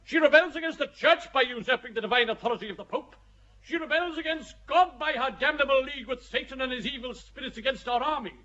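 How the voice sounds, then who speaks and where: A man playing a shrill, self-righteous clerk. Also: fast, bright, forceful tone, highs cut off. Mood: indignant. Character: blunt English clerk, bigoted and self-righteous.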